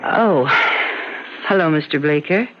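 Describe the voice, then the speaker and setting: Lively, polite office secretary. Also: female voice, low-pitched, measured pace, a little hiss or hum. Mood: polite, firm. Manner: crisp, courteous, professional. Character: brisk, polite female law office secretary, protective of boss. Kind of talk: old-time radio drama acting. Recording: dull lo-fi old radio recording.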